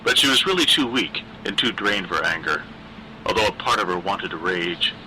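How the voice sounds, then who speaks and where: Male horror audiobook reader. Slightly low, very bright, tense tone, deliberate dramatic pacing, faint background noise. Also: lively intonation, rough recording. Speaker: story narrator, reader. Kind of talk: scripted narration of a dark horror story.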